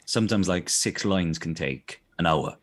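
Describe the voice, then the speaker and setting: A man with a fairly low voice and a South Welsh accent talks casually in an interview. He rambles through his thoughts, restarts and corrects himself, and often checks that the listener follows. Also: lively intonation, moderate pace. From South Wales. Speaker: voice actor being interviewed.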